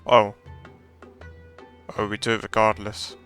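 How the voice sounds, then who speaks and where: A man's voice: lowish voice, monotone, slow, fairly bright tone. A man streaming a video game, giving casual off-the-cuff commentary. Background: game music.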